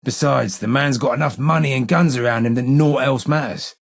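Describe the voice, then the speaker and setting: An actor playing a blind, weary old London gang informer in a video game, with a London accent, a husky gravelly voice and measured, wry street talk. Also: male voice, medium pitch. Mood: matter-of-fact. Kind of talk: video game character dialogue.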